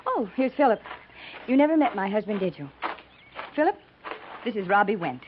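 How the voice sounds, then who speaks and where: A slightly muffled 1950s radio drama with faint noise. An army officer's wife, acted by a woman speaks with swooping, animated pitch. She is gracious, eager and polite. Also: normal speed, natural voice. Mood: gracious, pleased. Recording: dull, noisy 1950s radio recording.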